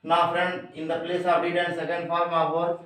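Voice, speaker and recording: A man with an Indian accent, a native Hindi speaker, teaching grammar in a deliberate, emphatic way and addressing his listeners directly. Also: on the high side, monotone, a bit bright. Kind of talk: instructional grammar lesson to camera. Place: echoey room indoors. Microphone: distant microphone. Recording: very echoey room, distant mic.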